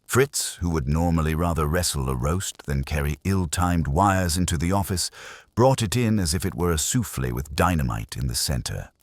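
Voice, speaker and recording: A man's voice: rumbling low, normal speed. A man reading a first-person detective story as a scripted narration. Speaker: audiobook narrator.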